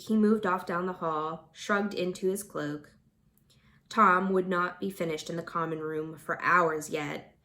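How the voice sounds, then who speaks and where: Lower-voiced woman reading a fantasy novel aloud. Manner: expressive, informal read-aloud with occasional stumbles. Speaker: audiobook-style volunteer reader.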